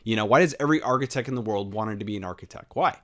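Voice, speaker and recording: A man's voice: lowish voice, rapid-fire. A young American man talking alone in a casual, rambling way, full of fillers, rhetorical questions and casual swearing. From United States. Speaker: podcast host. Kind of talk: unscripted solo monologue.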